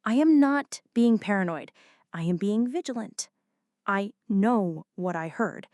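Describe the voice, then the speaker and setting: A woman's voice: medium pitch, animated, measured pace, a little mellow, dry recording, clean studio sound, natural voice. A woman acting a jumpy, flirty, dramatic friend in an ASMR roleplay, talking to the listener in a teasing, mock-indignant way. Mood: alert, nervous.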